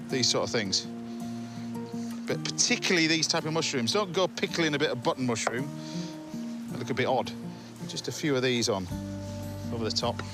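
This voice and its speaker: A man with an English accent hosting a cooking show, demonstrating a dish to camera with enthusiastic, jokey patter. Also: medium pitch. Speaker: TV chef and host. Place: home kitchen on TV set.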